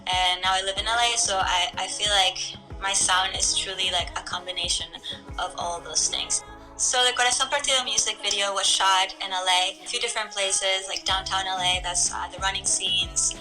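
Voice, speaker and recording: A young woman from Ecuador, a singer, chatting casually to camera in a relaxed way with lots of filler words. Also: mid-range voice, moderate pace, faint music behind, rough recording. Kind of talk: casual talk to camera about her song.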